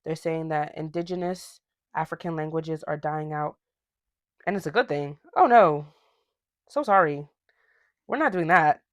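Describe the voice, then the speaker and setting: A woman's voice: deep voice, unhurried, long pauses, no echo, clean studio sound. A young woman from Ghana hosting her own podcast, talking casually and animatedly, with slangy asides. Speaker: podcast host. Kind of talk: casual solo podcast rant.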